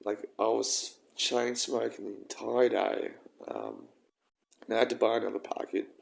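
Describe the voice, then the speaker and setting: A young man with a croaky voice, rambling and halting through a casual personal vlog. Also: fairly low pitch, big pitch swings, rough recording. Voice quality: croaky, gravelly. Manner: rambling, halting, with frequent ums and swearing. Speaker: vlogger.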